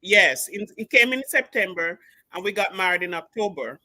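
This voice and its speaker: A woman calls in and explains her case in a halting way, with many pauses and fillers. Her voice sounds bright and clear. The sound is dry and close. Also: medium pitch, lively intonation, dry recording. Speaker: caller. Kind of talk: call-in question.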